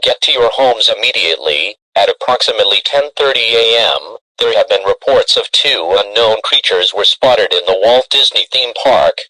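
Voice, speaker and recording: A man voicing a stern emergency alert announcer, reading formally in a measured, official manner. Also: on the low side, lively intonation, bright, forceful tone, no room sound, rough recording. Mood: grave, official. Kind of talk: formal scripted emergency broadcast.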